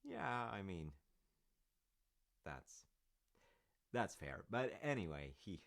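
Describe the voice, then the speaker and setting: Deep, slow, gravelly storytelling dad, played by a man. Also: very animated, fairly bright tone, dry recording, clean studio sound, full character voice. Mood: wry, amused. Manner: rambling, halting, with wry asides. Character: warm, rambling father telling his child Norse myths. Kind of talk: audio roleplay, intimate storytelling.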